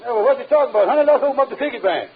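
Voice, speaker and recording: An actor playing a blustery, conniving middle-aged husband in a vintage radio comedy, theatrical and folksy. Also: male voice, very high voice, animated, rapid-fire. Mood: eager. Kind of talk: 1950s radio situation comedy acting. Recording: tinny, narrow old radio recording.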